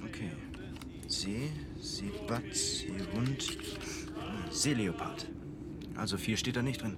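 An actor playing a practical polar expedition comrade in a radio play, direct and companionable. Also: male voice, lowish voice, lively intonation, slow, heavy background noise. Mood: casual, reading aloud. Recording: loud outdoor ambience effects behind.

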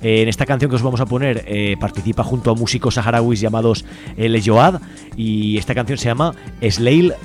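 A man's voice: medium pitch, fairly quick, soft background music. A man hosting a radio interview, asking long conversational questions. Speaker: radio host.

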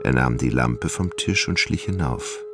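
A man narrating a dark gothic tale as the storyteller of a literary radio drama, grave and measured. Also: rumbling low, animated, over loud music. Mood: grave, brooding.

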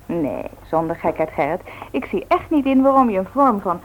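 A woman's voice: mid-range voice, sing-song, moderate pace, lightly acted. An actress playing a teasing, practical woman who coaxes persistently in a Dutch radio play. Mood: insistent, coaxing. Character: teasing, practical woman, meddling matchmaker to a man. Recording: tinny, noisy old radio recording.

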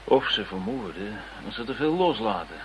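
A man's voice: fairly low pitch, big pitch swings, normal speed. A man playing a sceptical, troubled investigator with a wry manner in a radio play. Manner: wry, doubtful. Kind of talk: Dutch radio play acting. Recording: faintly noisy radio play recording.

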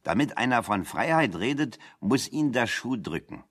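An actor playing a wry, talkative man in a German radio play, speaking in a deliberate, ironic, anecdotal way. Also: mid-range voice, very animated, a bit bright, husky. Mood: dry irony.

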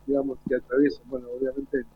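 A man hosting a live interview, speaking haltingly and repeating phrases. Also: medium pitch, monotone, slightly rough. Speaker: radio host. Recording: tinny phone-like lo-fi sound.

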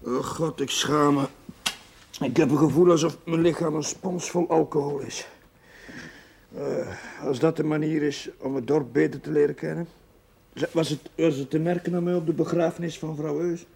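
An actor playing a meek, embarrassed young curate in a television drama, stammering and apologetic, on an old soundtrack. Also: male voice, on the high side, steady tone, normal speed, vocal fry, a little mellow, slight background noise. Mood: ashamed, pleading. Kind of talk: 1970s Dutch television drama acting.

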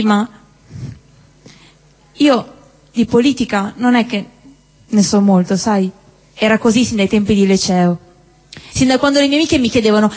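Stage actress, high and animated, teasing, with a long hall reverb. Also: female voice. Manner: chatty, teasing, bursts into excited shrieks. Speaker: stage actor. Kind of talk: live theatre dialogue. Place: theatre stage.

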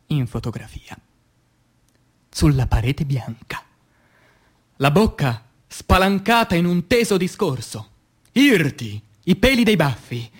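Theatrical man reciting poetry, high-pitched. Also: slightly rough. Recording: voice over music bed. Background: music.

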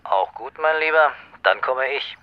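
A man's voice: medium pitch, lively intonation, a little slow, heavy background noise, lightly acted. Man playing a commanding commissioner, dull phone sound. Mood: urgent, insistent. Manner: commanding, clipped. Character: commanding, impatient male police commissioner on a call. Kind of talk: radio drama dialogue.

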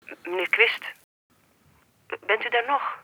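An actor playing a formal, careful, apologetic police officer in a radio drama, heard through a telephone filter. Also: male voice, extremely high pitch, slow, pushed and bright, husky, dry recording, full character voice. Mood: grave, formal. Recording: tinny telephone line.

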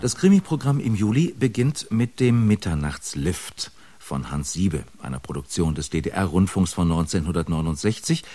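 A man announcing upcoming radio crime dramas in a smooth, scripted trailer narration. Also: sits low, lively intonation, normal speed, hardly any pauses, mellow, faint music behind.

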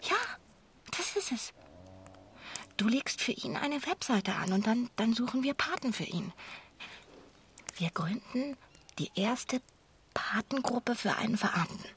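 Insistent idealistic wife over noisy background. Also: female voice, on the high side, expressive, fairly bright tone. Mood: eager, persuasive. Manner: insistent, gushing, halting repeats for emphasis. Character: wife in her forties, urgent, persuasive idealist, self-righteous. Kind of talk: naturalistic radio drama acting.

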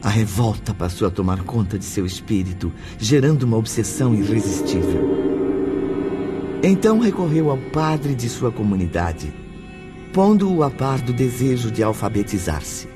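A man's voice: lowish voice, big pitch swings, moderate pace. A man narrating a radio drama from a script in a solemn, declamatory manner. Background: music.

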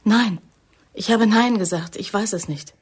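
A woman's voice: mid-range voice, unhurried, breathy edge. An actress playing a vulnerable young woman working as a reluctant undercover agent in a radio drama. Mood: stubborn, hurt.

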